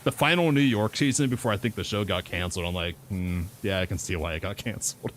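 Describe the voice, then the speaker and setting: A man with a very low, deep voice talking in a relaxed, laid-back way during a game stream. Faint background noise runs underneath. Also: quick pace. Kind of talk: casual chat while gaming.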